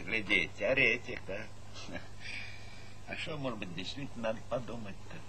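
Forceful, harried farm chairman. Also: on the low side, normal speed. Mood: pondering. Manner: blunt, grumbling, thinking aloud. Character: harried, blunt middle-aged collective-farm chairman. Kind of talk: Soviet radio play acting. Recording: noisy 1970s radio drama recording.

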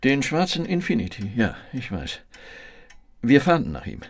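A gravelly police captain in a crime radio drama. He is official and methodical, with lively intonation at middle pitch. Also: moderate pace. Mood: businesslike. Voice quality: gravelly, creaky. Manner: formal, methodical questioning. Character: controlled, official male state police captain.

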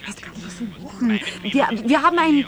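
A man's voice: very high-pitched, very animated, a little fast, crowd noise. A man playing a flustered radio studio staffer who repeats words in agitation. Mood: panicked. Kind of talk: radio drama acting.

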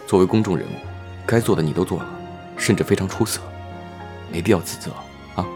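A man's voice: sits low, a little fast, over music. A man playing a caring, level-headed, protective colleague in an audio drama. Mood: firm, comforting.

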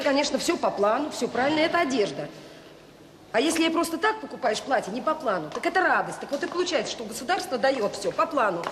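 An actress playing a warm-hearted, stubborn middle-aged director of a children's home, earnest and insistent, in a naturalistic radio drama. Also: female voice, high voice. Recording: echoey reverberant radio play, faint noise.

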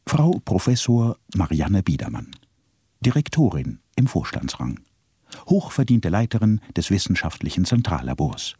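A man's voice: deep voice, very animated, moderate pace, warm tone, slightly rough, no room sound, full character voice. A man narrating a radio drama with ornate, slyly ironic phrasing. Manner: ornate, ironic listing delivery.

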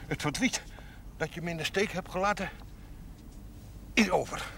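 An actor playing a lonely, gruff rural man who speaks in short, plain, colloquial phrases in a Dutch period TV drama. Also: high-pitched, normal speed, a bit bright, a little hiss or hum. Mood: tender, mournful. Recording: 1970s TV soundtrack with music.

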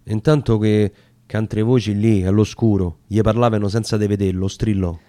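A man's voice: fairly low pitch, fairly even intonation, moderate pace, dark tone, dry recording. A man with a Roman accent giving a solemn, theatrical recitation of narrative dialect poetry. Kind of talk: dramatic recitation of narrative verse.

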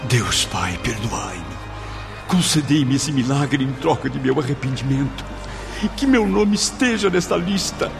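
Brazilian desperate damned soul in a radio play, very animated, loud music behind. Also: male voice, medium pitch, measured pace, gravelly. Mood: desperate pleading. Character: tormented male suicide soul, desperate and pleading, in the netherworld. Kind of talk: radio drama acting and first-person narration.

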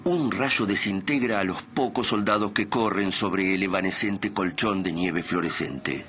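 A man with a deep, low voice narrates a dramatic radio serial. He reads scripted present-tense scenes. The recording carries noticeable background noise. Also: measured pace, a bit bright.

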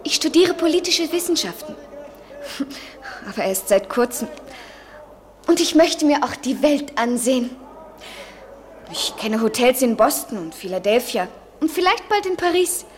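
Radio play role: dreamy, eager woman in her twenties, high girlish voice, poetic delivery. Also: sing-song, a little slow, long pauses. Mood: bright, playful. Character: Jennifer, eager, dreamy 23-year-old American student in love. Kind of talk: 1970s German radio play acting.

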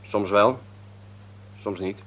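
An actor playing a cynical, guarded young layabout in a Dutch radio play, insolent and offhand, with a Netherlands accent. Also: male voice, on the low side, slow pace, fairly bright tone. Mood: evasive, guarded. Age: young adult. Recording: radio drama recording.

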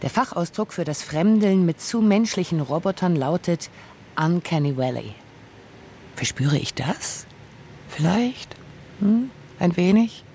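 A man's voice: high voice, animated, measured pace, a little mellow, a little hiss or hum. Wry radio narrator, a man. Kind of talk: scripted radio documentary narration.

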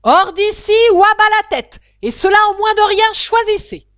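A woman voicing a tyrannical queen who shouts her orders in a shrill, very loud voice, for a storybook reading. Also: sing-song, brisk, dry recording, clean studio sound. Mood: furious.

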